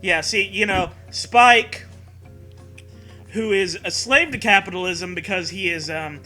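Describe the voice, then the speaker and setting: A man's voice: high-pitched, measured pace, pushed and bright, faint music behind. A man co-hosting a comedic read-aloud of fan fiction, riffing casually with mocking sarcasm and swearing. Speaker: co-host reading fan fiction.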